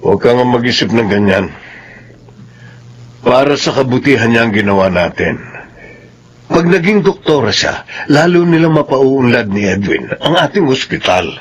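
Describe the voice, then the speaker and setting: Radio drama casting: man to play domineering Filipino dad, medium-pitched, firm and authoritative, faint noise behind. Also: lively intonation, normal speed, pauses often, natural voice. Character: stern, domineering middle-aged father, hospital-owning doctor.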